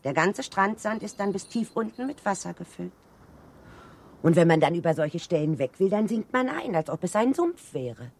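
A woman's voice: lowish voice, sing-song, moderate pace, darkish tone. An actress playing a knowing woman in a German radio drama, explaining things emphatically. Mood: ominous, explaining.

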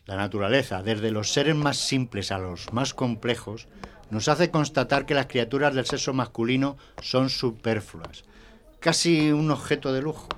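A man's voice: mid-range voice, natural voice. An actor playing a pompous, easily flustered male schoolteacher who lectures emphatically in an audio drama. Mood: solemn, emphatic.

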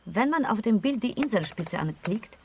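A woman's voice: fairly high pitch, lively intonation, normal speed, a little mellow, a little hiss or hum. A woman playing a matter-of-fact investigator on an expedition team in radio drama dialogue. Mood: focused.

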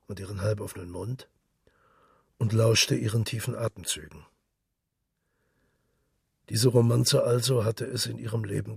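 A man narrating a literary story for radio in a measured, solemn manner. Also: fairly low pitch, gravelly, slightly rough, no echo, clean studio sound.

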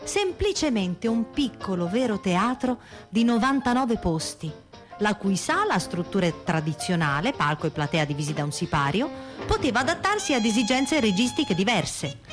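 A woman narrates scripted descriptions for a radio theatre piece. Her mid-pitched voice moves in an animated way. Music is clearly audible behind her. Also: normal speed. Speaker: radio theatre performer and reader.

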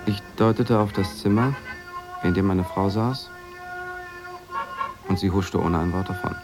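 Concerned husband narrating in radio drama: very deep, creaky, measured and confiding, music behind. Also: male voice. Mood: reflective, concerned. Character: worried, measured husband recounting events about his wife.

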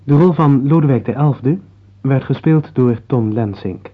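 A man working as a radio announcer, reading scripted closing credits in a measured, formal way. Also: medium pitch, breathy edge. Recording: narrow tinny old radio broadcast.

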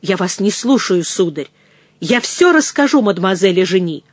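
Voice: medium pitch, lively intonation, moderate pace, dry recording, lightly acted. A narrator voicing a humble yet firm young enslaved woman, earnest and imploring, in an audiobook. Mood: indignant. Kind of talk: dramatised audiobook reading.

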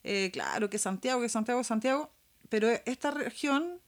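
A woman's voice: on the high side, lively intonation, normal speed. A woman with a Chilean accent co-hosting a casual political discussion, speaking colloquially and repeating words for emphasis. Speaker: podcast co-host.

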